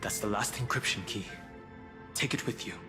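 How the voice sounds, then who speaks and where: A man's voice: fairly low pitch, moderate pace, long reverb tail, over music, close to an everyday voice. A man voice-acting a grave, pensive character in a fantasy game, speaking in a dramatic, serious way. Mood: focused, urgent.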